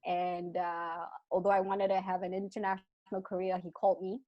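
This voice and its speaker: A young adult woman from Malaysia with a mixed British and Malaysian accent, speaking as an interview guest in an animated, restarting way. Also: on the low side, a little fast, dry recording. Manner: animated, frequent restarts, laughs between thoughts. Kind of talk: casual interview talk. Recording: dull lo-fi dry sound.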